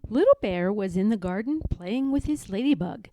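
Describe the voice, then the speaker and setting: A woman reading a children's storybook aloud in a deliberate, expressive manner. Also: mid-range voice, slight background noise. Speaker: storybook reader. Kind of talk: children's read-aloud narration.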